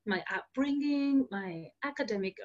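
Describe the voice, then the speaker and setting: A woman's voice: fairly high pitch, animated, moderate pace, a little mellow, highs cut off. A woman in her thirties from Argentina, a native Spanish speaker, hosting a solo podcast in an earnest, explanatory way with fillers and restarts. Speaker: podcast host.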